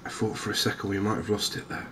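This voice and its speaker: A softly spoken man giving hesitant, unhurried ASMR-style commentary over a video game. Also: fairly low pitch, completely flat delivery. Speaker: gaming video host. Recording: clear game ambience noise behind voice. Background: outdoor wildlife ambience from a fishing game.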